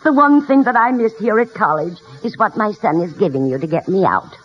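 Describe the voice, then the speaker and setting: A woman's voice: medium pitch, lively intonation, brisk, close to an everyday voice. An actress playing a feisty, plain-spoken old grandmother with wry wit in a vintage radio comedy. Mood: touched, surprised. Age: older. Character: feisty, wry old grandmother, candid mother of a snobbish son. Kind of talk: 1940s-50s radio comedy acting. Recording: muffled, hissy vintage radio recording.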